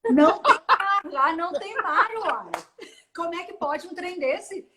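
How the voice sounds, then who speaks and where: A woman's voice: very high-pitched, lively intonation, measured pace, background noise. A woman in her forties with a Minas Gerais Brazilian accent, a swimmer chatting as an interview guest, rambling with restarts and laughing often. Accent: Minas Gerais Brazilian Portuguese. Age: 40s. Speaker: guest, open-water ultramarathon swimmer. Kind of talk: casual interview chat.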